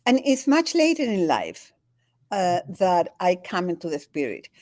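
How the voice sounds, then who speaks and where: An older woman from Chile with a Chilean Spanish accent, talking in an interview as a guest. Her native language is Spanish, and she speaks haltingly, with many restarts and frequent laughs. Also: lowish voice, wildly swooping pitch, darkish tone, no echo, clean studio sound. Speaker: guest, spiritual teacher. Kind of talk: conversational interview. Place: home in the Andes.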